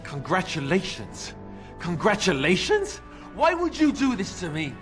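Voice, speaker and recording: An actor playing a reluctant young warrior newly made king in a video game, halting, earnest and self-deprecating. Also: sits high, wildly swooping pitch, fairly bright tone, faint music behind, full character voice. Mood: dismayed. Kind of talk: video game cutscene acting.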